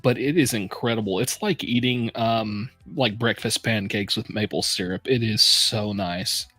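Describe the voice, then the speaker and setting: A man's voice: lowish voice, a little slow, bright, forceful tone, no echo, soft background music. A man from the United States in his thirties, hosting a whiskey tasting and chatting in a laid-back, rambling way. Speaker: whiskey reviewer host. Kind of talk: casual chat.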